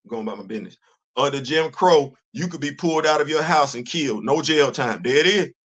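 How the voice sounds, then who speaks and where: A man in his fifties hosting a casual livestream, talking in an animated, preachy way, often repeating words and restarting his sentences. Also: fairly high pitch, fairly quick. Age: 50s. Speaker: host of a commentary livestream. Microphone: phone.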